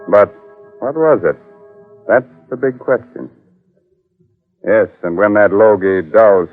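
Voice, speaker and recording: Man, lowish voice, theatrical teasing host, 1940s radio announcer delivery, narrow tinny recording, music behind. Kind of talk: 1940s radio horror hosting and ad reading.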